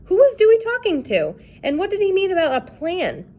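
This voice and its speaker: Woman, reading aloud, children's chapter book, expressive storytelling, lively pitch. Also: fairly quick. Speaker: reader.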